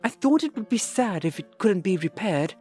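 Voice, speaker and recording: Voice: medium pitch, lively intonation, moderate pace, a little mellow, soft background music, close to an everyday voice. An actor playing a polite, eager alien host with formal, precise phrasing in an audio drama. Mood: grateful.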